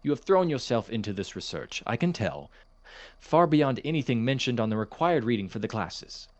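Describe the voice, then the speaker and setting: Audio drama academic, played by a man: male science professor, precise and kindly but cautious, expressive mid-pitched delivery, very dry sound. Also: normal speed, no echo, close to an everyday voice. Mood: encouraging. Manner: formal, precise, hesitant, gently reproving.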